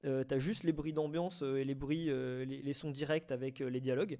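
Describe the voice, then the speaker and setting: A man's voice: mid-range voice, fairly even intonation, brisk, warm tone. A man in a casual debate, speaking colloquially and repeating words as he searches for his phrasing. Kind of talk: informal debate, casual discussion.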